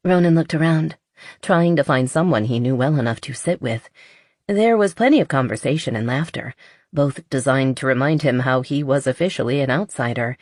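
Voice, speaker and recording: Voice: mid-range voice, normal speed, a little mellow, dry recording, studio quality. An audiobook narrator reading a romance novel in a scripted storytelling style.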